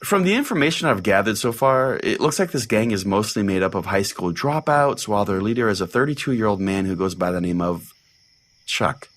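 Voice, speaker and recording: Man playing dejected podcast pastor, lowish male voice, deadpan, rambling, exasperated, comedic audio fiction, conversational storytelling. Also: fairly quick, a little hiss or hum. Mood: matter-of-fact. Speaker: podcast host.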